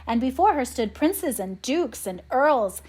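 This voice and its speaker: Expressive, high-voiced woman storyteller. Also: unhurried, breathy edge. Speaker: audiobook narrator. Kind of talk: storybook narration, read aloud.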